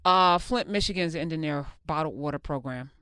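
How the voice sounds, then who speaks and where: A woman's voice: sits low, sharp tone, smooth, dry recording, clean studio sound. A woman hosting a radio talk show, blunt and emphatic, often cutting in. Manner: blunt, emphatic, quick to jump in. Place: radio studio. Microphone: studio microphone.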